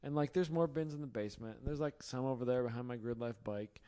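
A hoarse, tired man hosting a casual late-night chat in his home garage, joking and laughing often. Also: medium pitch, fast, mellow. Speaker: podcast host.

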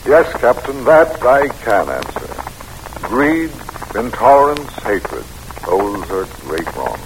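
An actor playing a weary, kindly middle-aged American president in measured, solemn, folksy style in an old-time radio drama. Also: male voice, mid-range voice, sing-song. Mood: resolute, solemn. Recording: noisy old radio recording.

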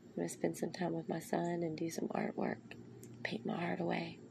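A woman hosting a podcast, speaking in an earnest, rambling, heartfelt way with a soft, soothing voice. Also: fairly low pitch, monotone, normal speed, vocal fry, background noise. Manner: earnest, rambling, with restarts and asides. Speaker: podcast host. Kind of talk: heartfelt motivational monologue.

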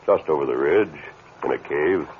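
An actor playing a calm, commanding masked cowboy hero, assured and firm, in an old radio western. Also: male voice, extremely low pitch, normal speed. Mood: confident, persuasive. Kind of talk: 1940s-50s radio western acting. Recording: tinny, noisy old radio recording.

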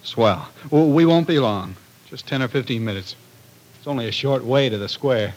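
An actor playing an easygoing young family man in an old radio drama, talking in a casual, halting, folksy way. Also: mid-range voice, natural voice, low-quality recording. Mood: relaxed.

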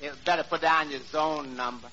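An actor playing a wry, skeptical waiter who drops sly wisecracks in a 1940s radio comedy. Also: male voice, a little high, steady tone, brisk, bright, forceful tone. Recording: dull, hissy 1940s radio recording.

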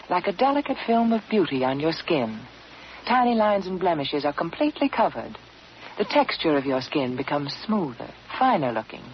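Female spokeswoman, 1940s commercial style, polished sales delivery, very varied intonation, muffled radio. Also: fairly low pitch. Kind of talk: 1940s scripted radio advertisement. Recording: muffled, noisy old radio recording.

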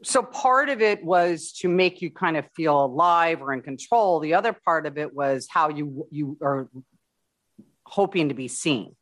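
Guy interviewing someone, kinda high voice, lots of yeah and mm-hmm, sums things up back to them. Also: expressive, unhurried, a bit bright. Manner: affirming, frequent short agreements. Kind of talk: supportive interview questioning.

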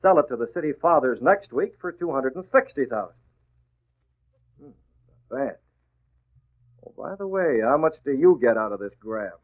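An actor playing a tough, sardonic masked vigilante in 1940s radio drama style, speaking in clipped, menacing phrases. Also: male voice, mid-range voice, animated, normal speed, long pauses, lightly acted. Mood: sardonic, cool. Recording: muffled, narrow-band old radio broadcast.

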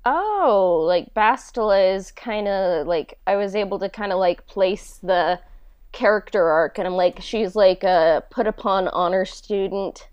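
A woman talking casually and haltingly in a podcast interview, as the show's creator answering questions. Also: medium pitch, darkish tone, clean tone, no echo, lo-fi sound. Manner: halting, conversational, frequent "like".

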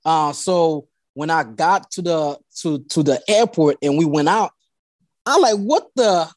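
A man from Haiti telling personal stories as an interview guest in an informal, halting way, often repeating phrases. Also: a little high, lively intonation, no echo. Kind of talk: casual personal storytelling.

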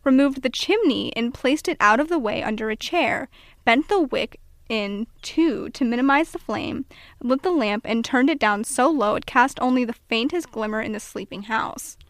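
A woman's voice: a little high, expressive, moderate pace, dry recording, studio quality. A young American woman co-hosting a podcast, playful and jokey as she moves between casual banter and storytelling. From Pennsylvania and Iowa, US. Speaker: podcast co-host. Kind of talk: casual banter and true-crime narration.

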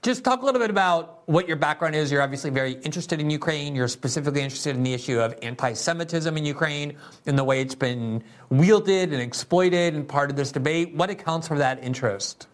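A man's voice: a little high, a little fast, hardly any pauses. A man hosting a political interview show, reading a sponsor spot and asking long, winding questions in an earnest way. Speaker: show host.

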